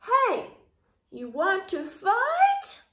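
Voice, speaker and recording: Storybook grouchy ladybug played by a woman: high, blustering, spoiling for a fight, stretched-out words, roomy sound. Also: huge pitch swings, full character voice. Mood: pugnacious. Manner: loud, blustering, drawn-out challenges. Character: small grouchy, pugnacious ladybug picking fights. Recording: roomy indoor echo.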